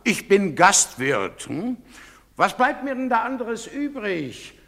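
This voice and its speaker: An actor playing a defensive, blustering village innkeeper who justifies himself in a stage drama. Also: male voice, sits high, very animated, slow pace, gravelly, bright, forceful tone, heavily acted. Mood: defensive, indignant. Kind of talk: theatrical stage drama acting. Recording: reverberant theatre stage recording.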